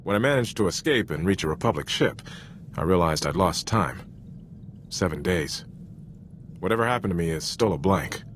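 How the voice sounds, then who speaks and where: A man's voice: sits low, expressive, normal speed, long pauses, slight background noise. A man playing an earnest, loyal Republic soldier in a video game, speaking frankly in an informal, sincere military manner. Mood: confiding, troubled. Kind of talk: cinematic video game voice acting.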